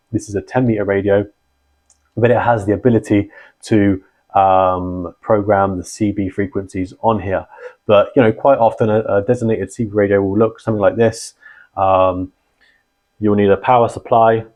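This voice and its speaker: A man hosting a channel, explaining gear in a relaxed conversational way full of ums and restarts. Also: fairly low pitch. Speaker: channel host and founder of a radio community. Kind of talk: casual explainer talk.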